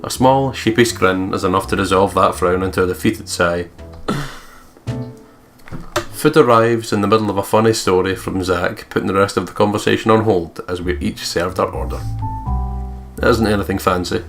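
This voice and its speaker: A man's voice: low voice, moderate pace. A man doing let's play narration and banter, jokey and sweary, with theatrical outbursts over game music.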